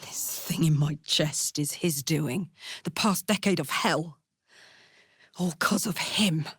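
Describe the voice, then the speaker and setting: A woman's voice: low voice, slow, a bit bright, husky, no echo, full character voice. Casting: a female game warrior, brash yet big-hearted, plain-spoken and earnest, taking her time, recorded close and dry. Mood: bitter, intense. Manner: earnest, blunt, slangy and informal. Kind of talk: video game voice acting.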